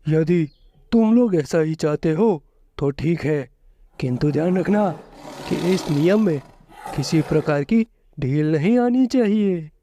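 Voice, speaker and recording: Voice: medium pitch, lively intonation, slow, dark tone, a little hiss or hum. A storyteller voicing a proud, hot-tempered lion king in a children's storybook, imperious and stern.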